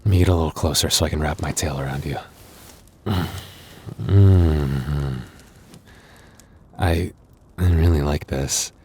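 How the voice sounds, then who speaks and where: A man voicing a tender, bashful young werewolf boyfriend in an intimate ASMR roleplay, halting and stammering, with breathy little laughs and sighs. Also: a bass voice, darkish tone, a little hiss or hum. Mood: flustered, affectionate.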